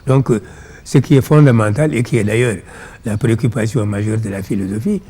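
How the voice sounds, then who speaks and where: Mellow-voiced, didactic Senegalese man. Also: lowish voice, husky. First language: Pulaar. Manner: didactic, measured phrasing. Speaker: interview guest, philosophy author. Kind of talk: explanatory interview answers.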